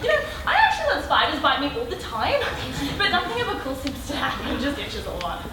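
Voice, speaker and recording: A woman's voice: sits high, fast. A woman playing a besotted, pushy superhero fan, gushing and repeating herself on an amateur stage, recorded distantly in an echoey hall. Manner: gushing, repetitive, excitable. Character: obsessive, hysterical female superfan, besotted and pushy. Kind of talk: amateur stage play acting. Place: indoor stage in a large room. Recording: echoey hall from far off, audience noise.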